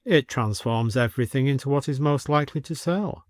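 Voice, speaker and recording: Man doing a wistful, genteel old-fashioned gentleman. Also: mid-range voice, fairly quick, no echo, clean studio sound, natural voice. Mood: resigned. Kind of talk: audiobook character voice.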